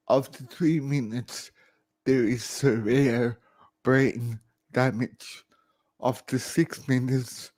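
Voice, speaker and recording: Man in his fifties, very slow laboured delivery, halting short phrases, personal vlog talk, dry close sound. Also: mid-range voice, darkish tone, dry recording. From Sweden. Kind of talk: live personal talk to camera.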